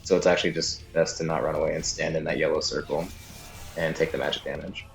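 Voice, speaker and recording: A man with a low voice talks through a video game walkthrough in a casual, explanatory way. He hedges on details as he goes. The room sounds slightly echoey, with soft music underneath. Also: fairly even intonation, fast talker, vocal fry. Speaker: guide narrator.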